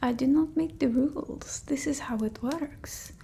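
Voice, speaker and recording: A woman's voice: high-pitched, gravelly, slight background noise. A woman voicing a fussy, condescending female vampire in ASMR roleplay, mostly whispered, close to the mic. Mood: anxious, secretive. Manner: halting, fussy, gently bossy, blunt. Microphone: close ASMR microphone.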